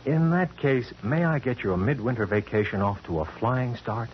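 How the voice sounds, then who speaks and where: A muffled, faintly noisy vintage radio recording features a breezy American police inspector played by an actor. He banters teasingly, with boyish cheek and animated pitch. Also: moderate pace, natural voice. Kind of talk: 1940s radio acting.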